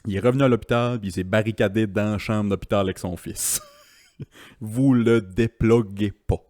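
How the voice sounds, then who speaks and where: A man's voice: on the low side, fairly even intonation, normal speed, darkish tone, dry recording. A man with a Quebec French accent hosting a podcast in loose, joking, casual chat. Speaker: podcast host. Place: podcast studio.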